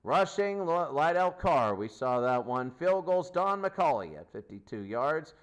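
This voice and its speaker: A man's voice: on the high side, big pitch swings, unhurried. A man giving an unscripted sports stats recap as host, listing figures in short, clipped phrases. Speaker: sports show host and commentator.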